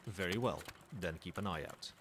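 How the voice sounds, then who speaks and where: A man's voice: deep voice, normal speed, noisy background, lightly acted. A man playing a proud old dragon in human form in an ASMR roleplay, speaking in formal, lofty and teasing phrases, with fondness. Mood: brisk, commanding.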